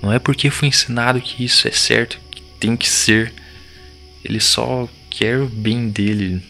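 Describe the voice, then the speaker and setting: An actor playing a shaken, defiant village boy in an audio drama, speaking in urgent, halting slang. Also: mid-range voice, steady tone, gravelly, sharp tone, soft background music. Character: shaken, defiant village boy urging his friends to flee.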